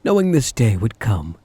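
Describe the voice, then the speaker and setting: A man performs an obsessive male kidnapper in a close, dry ASMR roleplay. His soft, dark voice is husky and slides from syrupy endearments into giddy menace. He is possessive and taunting, relishing his control. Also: medium pitch, wildly swooping pitch, measured pace, no echo, full character voice. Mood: giddy teasing. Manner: cloying endearments, mock-sweet taunting, swinging into giddy mania. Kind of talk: ASMR roleplay, intimate dramatic monologue.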